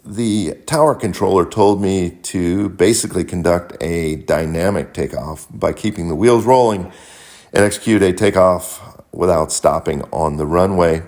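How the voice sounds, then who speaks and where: Low-voiced man giving methodical narration. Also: a little mellow. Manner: measured, methodical, instructional. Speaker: pilot and flight mentor, video host. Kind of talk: scripted explanatory narration.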